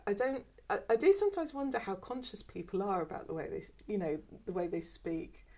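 A woman's voice: medium pitch, big pitch swings, fairly quick, a little mellow. A woman in her fifties with a mixed, mostly southern English accent, talking hesitantly in an oral history interview, often repeating words. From England. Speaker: former archive worker.

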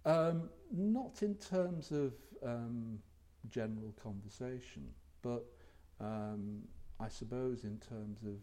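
Deep mellow older man, hesitant. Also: husky. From England. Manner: hesitant, reflective, many ums. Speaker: retired lecturer being interviewed. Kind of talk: oral history interview.

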